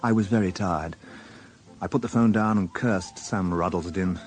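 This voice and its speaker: A man's voice: low-pitched, moderate pace, darkish tone, soft background music, close to an everyday voice. An actor playing a weary, dry-witted male police inspector in a radio drama, wry and understated. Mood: wry narration. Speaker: narrator and lead actor. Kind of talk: radio drama acting and first-person narration.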